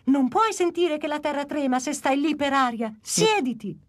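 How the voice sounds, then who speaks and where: A woman's voice: high voice, expressive, moderate pace. A woman voicing a young, eager and adventurous boy dinosaur in a dubbed cartoon. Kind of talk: Italian cartoon dub.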